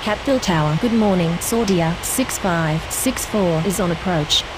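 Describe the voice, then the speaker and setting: A woman's voice: fairly low pitch, natural voice. A woman speaking as a pilot in scripted air traffic radio phraseology. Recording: very loud hiss and rushing noise.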